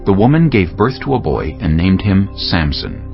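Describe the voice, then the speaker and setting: Deep guy narrating the bible, animated delivery, bit muffled, music in back. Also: moderate pace, vocal fry. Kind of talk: dramatized scripture narration. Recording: dull band-limited sound. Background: background music.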